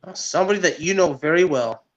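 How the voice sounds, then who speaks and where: A man's voice: a little high, fast talker. A man co-hosting a sports podcast, chatting casually and chiming in with short comments. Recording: dull, lo-fi audio.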